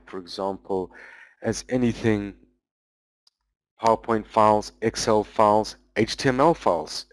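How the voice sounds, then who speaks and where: Man, lowish voice, dry close sound, webinar presenter, explanatory, pauses with "um" often. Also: dry recording, rough recording. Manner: explanatory, walking through product features; loose, with frequent "um" pauses. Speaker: business development manager presenting a webinar.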